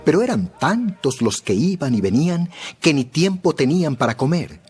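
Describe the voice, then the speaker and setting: Expressive male Bible narrator over soft music. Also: fairly high pitch. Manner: expressive, measured storytelling. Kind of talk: scripted dramatized Bible narration.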